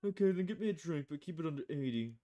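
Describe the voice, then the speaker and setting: A woman plays a male private eye from a noir visual novel. The voice is dark and mellow, with a grumbling, impatient manner as he fishes for information. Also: low voice, expressive, quick pace. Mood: matter-of-fact. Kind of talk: visual novel character voice, film noir dialogue.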